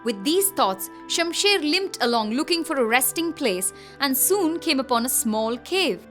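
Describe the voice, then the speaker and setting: Female storyteller for kids' animation: high voice, expressive storybook delivery, soft music underneath. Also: measured pace. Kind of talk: scripted narration of a children's moral story.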